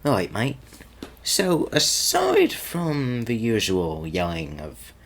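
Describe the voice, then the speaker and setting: A man playing an easygoing, teasing young guy sweet on his friend, talking casually and haltingly in an audio roleplay. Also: mid-range voice, wildly swooping pitch, a bit bright, full character voice. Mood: teasing, nervous. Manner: halting and stammering, chuckling, casual slangy speech.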